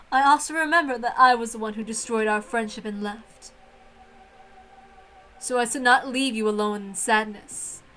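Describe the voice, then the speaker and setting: A woman's voice: a little high, fairly bright tone, close to an everyday voice, rough recording. A woman voicing a proud, gracious witch hostess in a visual novel reading, speaking in formal, stately phrases over music. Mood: solemn. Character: elegant, gracious witch hostess, grandly proud yet innocently friendly.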